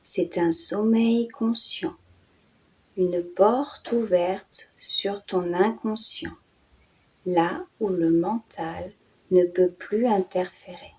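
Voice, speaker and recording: A woman guiding a yoga nidra and hypnosis meditation in a soothing, hushed way, in short phrases with breathy endings and long pauses. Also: mid-range voice, fairly even intonation, rough recording. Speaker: meditation guide and channel host.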